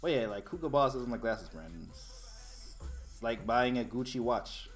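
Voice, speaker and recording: A man from Jamaica chats and jokes on a podcast, with a lively voice that swings up and down in pitch. He talks loosely, swears a lot and laughs often. Faint music plays under the talk. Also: unhurried. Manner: laid-back, swears freely, laughs often. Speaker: podcast co-host. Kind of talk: casual banter.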